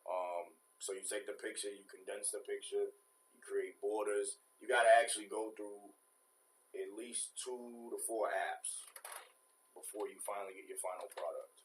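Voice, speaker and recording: A man's voice: lowish voice, fairly even intonation, normal speed, slight background noise, rough recording. A young man with a Southern US accent, talking in a laid-back, rambling and earnest way as a guest on a casual talk show. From New York. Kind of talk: casual chat.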